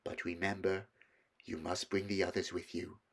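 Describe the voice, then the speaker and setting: A man voicing a sly witch queen in a smooth, wheedling storybook manner. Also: low voice, little pitch movement, moderate pace, fairly bright tone. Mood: commanding, coaxing. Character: sly, coaxing witch queen, a grown woman.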